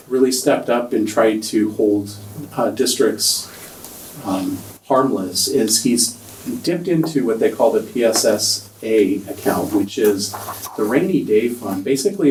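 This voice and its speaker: A soft-spoken man giving a budget presentation at a school board meeting, hesitant with many ums. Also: lowish voice. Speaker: school district finance presenter. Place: large meeting room. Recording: echoey room, distant mic, faint noise.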